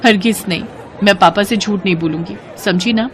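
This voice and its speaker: A woman acts a modest, principled young student in a cartoon moral story. Her delivery is earnest and held back, at an average pitch. Faint crowd noise sits behind her. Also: normal speed, fairly bright tone, close to an everyday voice. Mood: reluctant, hurt. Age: young adult.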